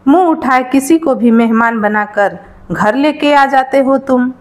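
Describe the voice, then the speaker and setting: A woman's voice: high-pitched, unhurried. A woman voicing a greedy, nagging woodcutter's wife in a storybook tale, with a scolding, sarcastic manner.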